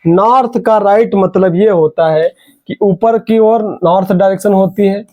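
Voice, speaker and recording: A man's voice: sits high, measured pace, lo-fi sound. A young man with a sore throat teaching an online exam reasoning class, urging students on and repeating key words.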